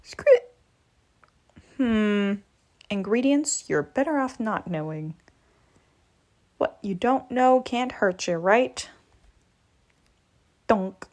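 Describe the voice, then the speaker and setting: Lady reading a comic aloud, kinda high voice, bouncy delivery. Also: very slow, pauses often. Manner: lively, shifts pitch for each character. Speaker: read-aloud narrator.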